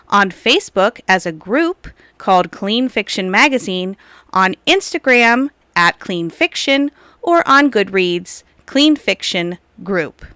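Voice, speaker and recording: A woman reading a scripted promotional announcement as a publisher, in measured, formal phrasing. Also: medium pitch, bright, forceful tone, no room sound. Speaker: magazine publisher.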